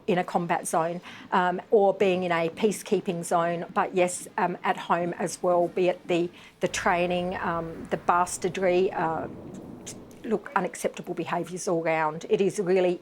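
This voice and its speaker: A woman's voice: lowish voice, level tone, moderate pace, slight background noise. A middle-aged woman, an advocate giving a live TV interview, emphatic and impassioned with many fillers. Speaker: bereaved mother and veterans' advocate.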